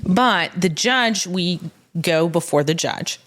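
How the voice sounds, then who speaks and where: Lower-voiced American woman explaining things step by step. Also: animated, slow, fairly bright tone, faint music behind. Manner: matter-of-fact, explaining step by step with examples. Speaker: podcast co-host, legal expert. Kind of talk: casual legal explainer chat.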